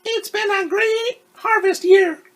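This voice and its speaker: Man doing a high cheerful radio lady. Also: fairly even intonation, slow, fairly bright tone, heavily acted. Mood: cheery. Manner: very high falsetto, announcer-style sing-song. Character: bright cheerful female radio announcer.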